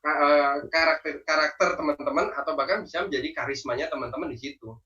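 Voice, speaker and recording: A man with a strong Javanese accent teaching public speaking, lively and persuasive, posing rhetorical questions. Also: fairly high pitch, quick pace, distant mic, muffled recording. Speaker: guest speaker and trainer. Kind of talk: online class teaching.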